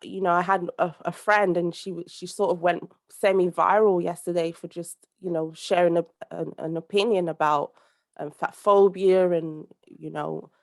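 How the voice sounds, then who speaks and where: A young woman from the UK speaks as a guest on a call, her voice sitting a little low. She talks earnestly and at length, circling back with fillers and restarts as she works out her point. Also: steady tone, moderate pace. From United Kingdom. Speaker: podcast guest, social commentator. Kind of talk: conversational interview over a call.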